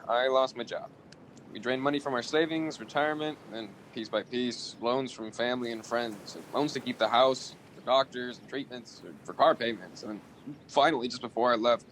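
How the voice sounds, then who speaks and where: A man's voice: a bit bright, natural voice, low-quality recording. A man playing a weary, earnest, exasperated father in a scripted radio play. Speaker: actor in a college radio play. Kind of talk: scripted radio drama acting. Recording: faint low rumbling noise.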